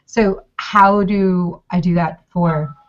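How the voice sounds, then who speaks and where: A woman's voice: on the low side, steady tone, slow. Giggly Mexican-born woman on a video call. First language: Spanish. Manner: giggly, goofy, chatty with many restarts. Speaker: consulting client, food blogger. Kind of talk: casual video call chat. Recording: slightly dull video call audio.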